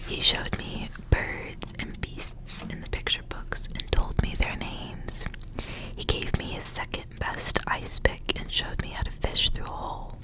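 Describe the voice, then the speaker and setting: A woman whispering in a breathy, unvoiced voice, reading stories aloud for ASMR in a casual, unhurried, informal way. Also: low-quality recording.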